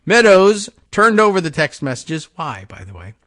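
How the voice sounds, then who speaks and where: A man with a slightly high, sounding voice reads news copy and keeps stopping to add wry, offhand remarks. The sound is close and dry, with no room around it. He moves between a reading tone and loose, musing commentary. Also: big pitch swings, moderate pace, a bit bright, no room sound. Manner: sardonic asides between read passages. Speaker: political podcast host.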